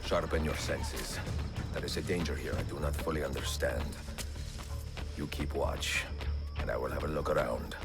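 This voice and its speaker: An actor playing a middle-aged Italian master assassin in a video game, a calm, commanding mentor with a gravelly voice and measured, formal phrasing. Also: male voice, a bass voice, music in the background. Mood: wary, hushed. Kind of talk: video game cutscene acting.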